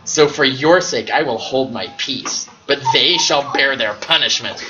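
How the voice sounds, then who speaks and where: A man voicing a wrathful five-year-old boy who speaks slow, solemn archaic curses. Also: mid-range voice, pushed and bright, dull audio, faint music behind, pitched below the performer's own voice. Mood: solemn menace. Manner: slow, solemn, mock-biblical delivery.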